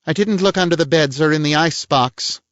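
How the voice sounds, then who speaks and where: A man's voice: brisk, a bit bright, no room sound, lightly acted. A man voicing a haughty, theatrical middle-aged woman, huffy and affected in manner. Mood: defensive. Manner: shrill, huffy, affected gentility. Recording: dull lo-fi, dry.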